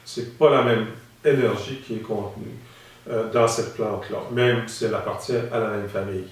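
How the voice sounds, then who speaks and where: Echoey man explaining calmly. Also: on the low side, moderate pace. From Saskatchewan, Canada. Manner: calm, explanatory, with frequent hesitations. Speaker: instructor. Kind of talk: instructional demonstration. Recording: very echoey room, mic at a distance.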